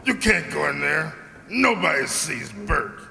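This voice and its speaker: A man's voice: fairly high pitch, animated, measured pace, gravelly, bright, forceful tone, exaggerated voice. A man acting a blunt, surly, dim henchman standing guard in an old-time radio drama. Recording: echoey reverberant hall recording.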